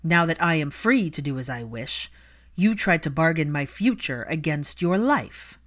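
Resentful highborn young man, performed by a female narrator, lowish register, forceful, biting and deliberate, for dramatic story reading. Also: very animated. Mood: bitter. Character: bitter adult aristocratic son, cold, angry, resentful.

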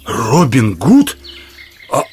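A man's voice: medium pitch, wildly swooping pitch, drawn-out words, over music, exaggerated voice. An actor playing a blustering, flustered bishop, sputtering his lines in a radio play.

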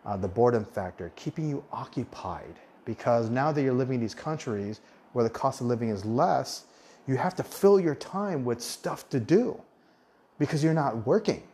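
A man's voice: medium pitch, lively intonation, moderate pace, darkish tone. A man in his forties hosting a podcast, talking casually in a monologue with frequent restarts and self-corrections. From United States. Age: 40s. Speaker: podcast host on living abroad.

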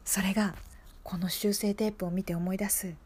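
A woman's voice: medium pitch, fairly even intonation, moderate pace, darkish tone, slightly rough, voice pushed down, natural voice. A woman playing an earnest young female schoolteacher in an improvised radio monologue, telling her story in a confiding, emphatic way. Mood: reflective, earnest.

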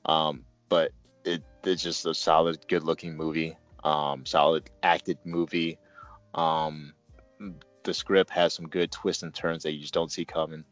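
A man's voice: a bass voice, flat delivery, measured pace, no echo, muffled recording, soft background music. A man hosting a casual movie review, talking in a joking, rambling way.

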